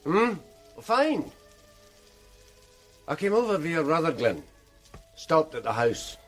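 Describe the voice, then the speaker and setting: An actor playing a tired, middle-aged detective in a radio drama, speaking in a low-key, wry way. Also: male voice, very animated, takes it slowly, fairly bright tone, faint music behind, heavily acted. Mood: weary.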